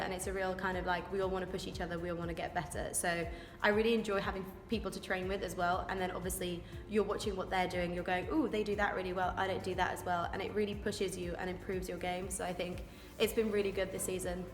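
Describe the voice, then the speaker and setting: A woman's voice: lowish voice, fairly even intonation, fast, few pauses. Chatty young woman in an echoey room. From Wales. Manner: chatty, eager, informal. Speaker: rugby scrum-half. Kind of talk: interview answers. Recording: echoey room with long reverb, music behind.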